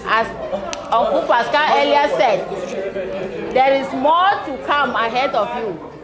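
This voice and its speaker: A woman's voice: sits high, animated, takes it slowly. A middle-aged woman giving a birthday toast at a party, telling a story and laughing often. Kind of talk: birthday speech. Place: party hall. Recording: loud crowd at a party.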